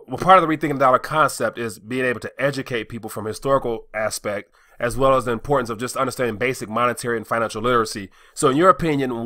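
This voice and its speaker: Fast bright male interview host. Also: medium pitch, dry recording, clean studio sound. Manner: quick, upbeat, leading questions.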